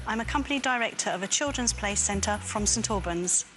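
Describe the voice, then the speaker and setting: A woman's voice: medium pitch, fairly quick, music in the background. A woman in her forties, a quiz contestant giving friendly, joking advice to a teammate. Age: 40s. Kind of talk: casual team chat.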